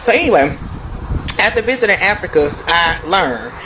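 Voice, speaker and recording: An American woman with a lowish voice talks casually to her viewers. She is emphatic and wanders off into asides as she gives her opinions. There is clear noise behind her. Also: sing-song, normal speed. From United States. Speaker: video host.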